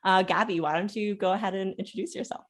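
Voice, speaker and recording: A woman's voice: mid-range voice, fairly even intonation, fast talker. A woman hosting a podcast, introducing herself and asking a guest questions in an upbeat, welcoming way. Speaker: podcast host.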